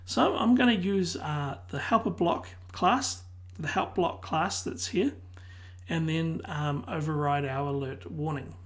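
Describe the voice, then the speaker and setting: A tutor losing their voice who keeps clearing their throat, calmly explaining a software tutorial one step at a time. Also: medium pitch, a little slow. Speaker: web design tutor. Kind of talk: screen-recorded software tutorial.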